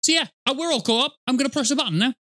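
A man's voice: extremely high pitch, very fast, pushed and bright. A middle-aged man giving casual gaming commentary as a reaction host, rambling, sarcastic and sweary, close on the mic in a small room.

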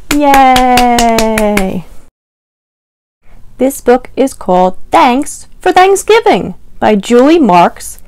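A young woman with a high, very animated voice hosts storytime for small children. She speaks very slowly in a sing-song manner, reading simply and pausing to ask questions. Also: no room sound, studio quality. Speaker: children's librarian, storytime host. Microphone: lavalier clipped to T-shirt.

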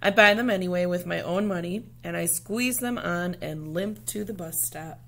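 A woman with a slightly low voice reads a children's picture book aloud. She phrases it deliberately and dramatically, as a teacher does for young listeners. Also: vocal fry, fairly bright tone, a little hiss or hum.

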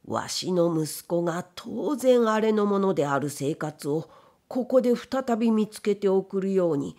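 Slow, weary old man voiced by a woman. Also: medium pitch, expressive, mellow. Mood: bitter. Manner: weary, old-fashioned elderly man's speech. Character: old blind factory owner, proud, bitter, grieving father. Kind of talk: dramatic literary reading.

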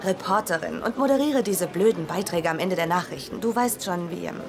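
An actress playing an easygoing young reporter with a dry, joking manner, in a dubbed sitcom. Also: female voice, fairly low pitch, animated, a little fast, crowd noise. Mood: wry, friendly. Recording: music behind TV dialogue.

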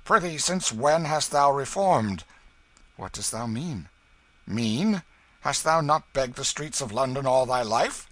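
A man's voice: medium pitch, animated, unhurried, no room sound, voice pushed up. A man voicing a wily, bullying beggar-thief in a storybook, blustering and cajoling in archaic rogue's speech. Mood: taunting.

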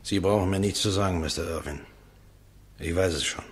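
An actor playing a resigned condemned prisoner in a German radio drama, speaking in a halting, subdued, very husky voice. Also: male voice, low-pitched. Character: resigned condemned prisoner protesting his innocence, grateful.

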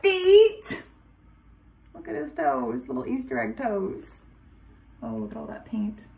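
A woman kindergarten teacher reading a rhyming picture book aloud to young children in a sing-song way, pausing dramatically before rhymes and asking questions. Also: on the high side, very animated, creaky, warm tone.

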